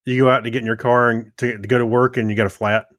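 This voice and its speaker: A man's voice: mid-range voice, little pitch movement, fast talker, fairly bright tone, no echo, studio quality. A middle-aged man chatting casually as a podcast co-host. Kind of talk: casual chat.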